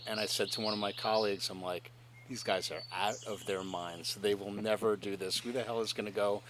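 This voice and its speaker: A middle-aged man hosts a friendly interview, talking in an animated, chatty way and laughing often. His voice sits at an ordinary pitch, with faint room noise behind it. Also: fairly quick. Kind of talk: casual interview.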